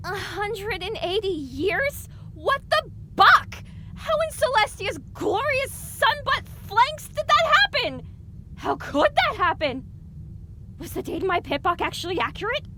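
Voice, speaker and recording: A woman's voice: extremely high pitch, big pitch swings, measured pace, heavy background noise, heavily acted. A woman playing an anxious young female pony in an audio drama, earnest and bewildered, full of self-questioning. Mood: shocked.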